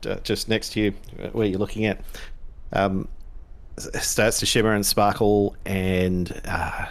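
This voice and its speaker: Slow, hesitant low-voiced man. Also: level tone, vocal fry. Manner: halting, hesitant, searching for words. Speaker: game master. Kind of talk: improvised narration of a tabletop game.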